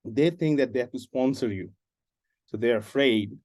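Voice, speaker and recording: A man from Bangladesh, guest on an interview, telling his career story in an animated, joking way. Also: medium pitch, normal speed. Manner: animated, jokey storytelling with laughs. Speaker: guest, animation production supervisor and writer-director. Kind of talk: casual interview talk.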